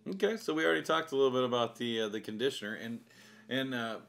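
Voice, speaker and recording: A man's voice: medium pitch, fast, creaky, a bit bright. A man co-hosting a casual home video, explaining things patiently with uh fillers and repeated words. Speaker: co-host prompting and explaining.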